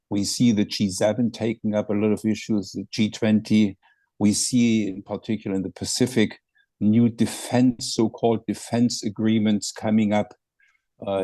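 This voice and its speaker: Low, mellow-voiced man making analytical points. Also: dry recording. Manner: measured, analytical, with frequent fillers. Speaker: discussion participant. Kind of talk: online discussion remarks. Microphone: video call.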